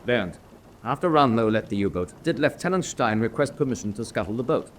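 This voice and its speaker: An actor playing a principled German senior U-boat officer in a radio drama, deliberate and authoritative. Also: male voice, medium pitch, animated, a little hiss or hum, close to an everyday voice. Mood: stern, probing.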